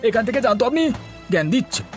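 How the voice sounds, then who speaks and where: A man's voice: very high voice, sing-song, fast talker, soft background music. A man voicing a brash, greedy elder brother in a cartoon, insistent and exclaiming. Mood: defiant. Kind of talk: Bengali moral-tale cartoon voice acting.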